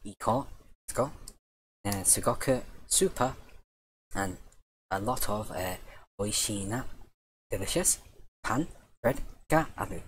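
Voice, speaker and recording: A man's voice: on the low side, slight background noise, low-quality recording. Man with a dry, tired voice. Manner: easygoing, thinks aloud and corrects himself. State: dry, tiring voice. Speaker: Japanese language teacher. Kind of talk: casual screen-share vocabulary lesson.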